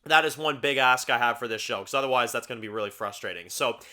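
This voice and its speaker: A man's voice: mid-range voice, fast, sharp tone. A Canadian man whose first language is French, hosting a casual video review and rambling with lots of fillers like "you know what I mean". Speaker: YouTube TV reviewer. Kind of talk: casual talking-head commentary.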